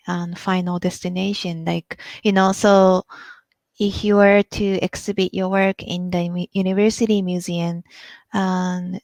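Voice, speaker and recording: A woman's voice: mid-range voice, darkish tone, no echo. Woman moderator on a video call, attentive, dry close sound. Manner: attentive, frequent backchannel murmurs. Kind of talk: moderating an online meeting.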